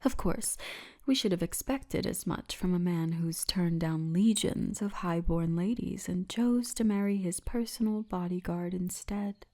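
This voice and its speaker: A woman's voice: lowish voice, a little slow, mellow. A woman playing a poised, seductive orc tour guide in an ASMR fantasy roleplay, teasing and often half-whispering. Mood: teasing, intimate.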